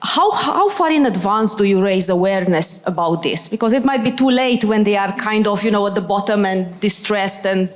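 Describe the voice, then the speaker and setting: A woman from Malta asking a panel a quick question from the audience, in a conversational way. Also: medium pitch. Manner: quick, conversational, says you know. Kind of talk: question from the floor.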